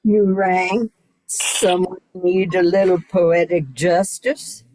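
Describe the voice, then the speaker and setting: A woman's voice: fairly low pitch, steady tone, takes it slowly, a little mellow, poor audio. A woman playing a cool, menacing goddess of vengeance in an amateur noir radio drama, with some background noise.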